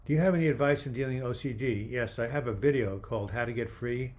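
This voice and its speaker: An older man hosting a live video chat, reading viewer comments aloud and replying in a friendly, halting way with frequent restarts. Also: mid-range voice, darkish tone. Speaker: livestream host answering viewer comments.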